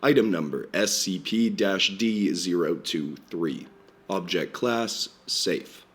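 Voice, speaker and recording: A stiff, formal research lecturer, put on by a man delivers a measured briefing in a lowish voice. The voice sounds strained from a cold.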